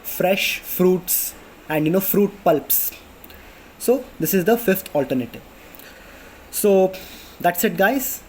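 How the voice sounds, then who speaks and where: A young Indian man in his late twenties talks through home health tips in a loose, chatty way, with lots of "you know" and restarts. His voice is fairly high for a man yet mellow and soft-edged. Faint room hum sits behind him. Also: animated, takes it slowly, pauses often, low-quality recording. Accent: Indian English. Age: 20s. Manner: chatty, many fillers and restarts. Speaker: vlogger. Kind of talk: casual health tips vlog. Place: apartment in a city under flight paths. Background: planes passing overhead.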